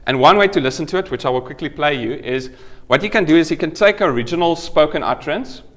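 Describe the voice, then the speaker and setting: A man's voice: fairly high pitch, animated, quick pace, fairly bright tone. South African man giving a chatty lecture. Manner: conversational, explains with frequent "okay?" checks and restarts. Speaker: university lecturer giving a conference talk. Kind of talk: academic conference talk.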